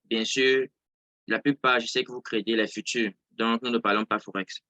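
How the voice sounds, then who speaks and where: Male online trading instructor, non-native French, bright projected tone, dry close sound, explanatory, restates key words. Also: mid-range voice, steady tone, moderate pace, no echo. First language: not French. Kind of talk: informal live online lesson, explaining. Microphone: close mic on an online video call.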